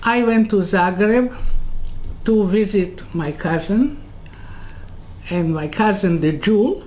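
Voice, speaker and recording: An elderly woman from Serbia speaks very slowly on a video call from a room lined with bookcases. Her English is halting and non-native, and she wanders into asides. Her voice sits at a middle pitch for a woman. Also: animated, a little mellow, a little hiss or hum. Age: 80s. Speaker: Holocaust survivor interviewee. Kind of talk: personal life story told in an interview. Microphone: webcam on a video call.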